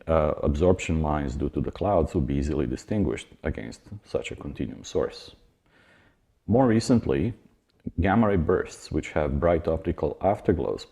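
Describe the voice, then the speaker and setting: A man giving an academic science lecture as a university lecturer, explaining in a measured way with informal asides. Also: rumbling low, creaky, a little mellow, breathy edge.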